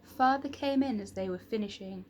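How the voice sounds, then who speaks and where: A woman reading a children's story aloud in an expressive storytelling manner. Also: medium pitch, normal speed, slight background noise. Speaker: reader of children's stories. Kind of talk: expressive scripted storybook narration.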